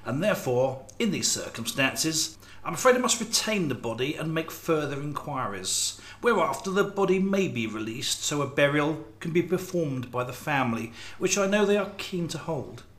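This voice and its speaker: An actor plays a formal male coroner in a radio drama. His slightly high voice is measured and official, echoing around the room. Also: big pitch swings, a bit bright. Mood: formal, regretful. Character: formal coroner delivering post-mortem findings. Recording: echoey room.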